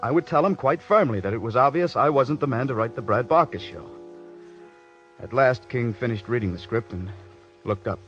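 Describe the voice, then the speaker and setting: A man's voice: mid-range voice, normal speed, a bit bright, natural voice. Guy playing bitter intense writer in old 40s radio drama, dramatic delivery, muffled old recording w some music. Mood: brooding, tense. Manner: earnest, brooding, dramatic storytelling delivery. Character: embittered, overworked radio scriptwriter, intense and stubborn. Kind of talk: 1940s radio drama acting and first-person narration.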